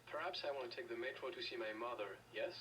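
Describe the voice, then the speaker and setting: A man's voice: medium pitch, fast, a bit bright, close to an everyday voice. An actor playing a defiant, bitter French father in a video game, speaking with a French accent. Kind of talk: video game dialogue acting. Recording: distant, noisy game capture with ambience.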